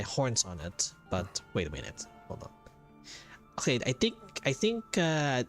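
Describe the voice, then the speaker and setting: A man's voice: mid-range voice, fairly bright tone. A man giving casual game playthrough commentary as a streamer, rambling as he thinks aloud. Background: game music.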